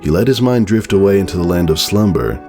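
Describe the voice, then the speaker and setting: A man's voice: low voice, animated, fast talker, a little mellow. A man narrating a story as a dramatic, scripted reading. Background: background music.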